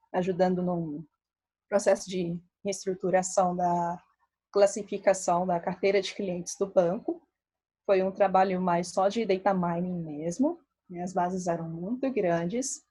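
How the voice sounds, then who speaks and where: A woman in her thirties from Belo Horizonte with a mixed Minas and Rio accent, a statistician giving a relaxed, chatty talk with many fillers. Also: on the low side, husky. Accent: Brazilian Portuguese, mixed Minas Gerais and Rio. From Belo Horizonte, Brazil. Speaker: statistician presenting to a meetup. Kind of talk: casual talk.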